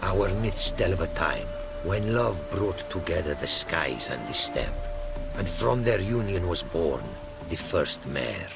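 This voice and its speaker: A proud, courtly explorer from a fantasy game. His voice is low and creaky, with sweeping melody, and he speaks in ornate, backwards-turned phrases. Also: lively intonation, unhurried, over loud music, full character voice. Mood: reverent storytelling. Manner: formal, inverted, poetic phrasing. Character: courtly, philosophical Ophiri merchant explorer, proud and gracious. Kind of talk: video game character acting.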